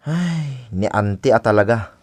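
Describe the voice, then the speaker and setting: An actor playing a concerned older brother in an Ilocano radio drama, with a Filipino accent. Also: male voice, mid-range voice, lively intonation, measured pace, darkish tone, no echo.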